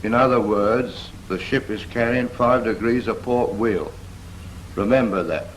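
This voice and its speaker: Low-voiced British navy instructor played by an actor. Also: male voice, unhurried, close to an everyday voice. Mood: instructive. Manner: instructive, firm, prompting with questions. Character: patient, brisk Royal Navy instructor teaching a novice helmsman. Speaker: naval steering instructor. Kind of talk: scripted 1940s training film dialogue. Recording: noisy 1940s film soundtrack.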